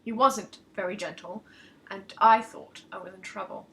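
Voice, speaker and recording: A woman reading a first-person story aloud with expressive narration, as an author performing her own work. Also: medium pitch, normal speed, creaky, a little hiss or hum.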